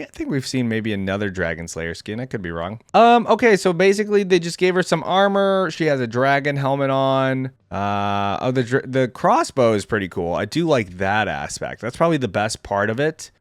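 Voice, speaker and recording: Male reaction host: casual gamer talk, animated intonation, close dry mic, slangy and unscripted. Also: mid-range voice, smooth, no room sound. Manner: informal, slangy, thinking aloud. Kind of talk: casual off-the-cuff gaming commentary.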